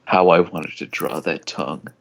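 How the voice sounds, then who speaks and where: A man's voice: extremely low pitch, steady tone, moderate pace, vocal fry. A man giving an amateur dramatic reading of fan fiction as a story narrator. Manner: breaks into laughter at the text.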